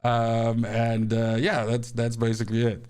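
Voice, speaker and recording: A man from Namibia with a South African accent talks straight to his viewers in a loose, rambling way. His voice is smooth and clear at a mid pitch, and he often stumbles over repeated words. He sounds earnest and thankful. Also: completely flat delivery, measured pace, a little mellow. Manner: grateful, earnest. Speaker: gaming channel host. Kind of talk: casual talk to camera.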